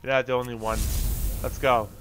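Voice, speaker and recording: A man's voice: mid-range voice, little pitch movement, fairly quick, a bit bright, slight background noise. A man giving casual gameplay commentary, talking to the characters on screen and swearing mildly. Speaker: gaming video host.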